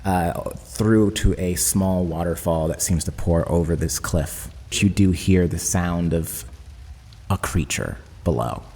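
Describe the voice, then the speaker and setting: Very deep-voiced man narrating dramatically. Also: slow pace, warm tone, breathy edge, big-room sound. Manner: dramatic, descriptive storytelling. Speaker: game master. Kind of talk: narrating a tabletop roleplaying game.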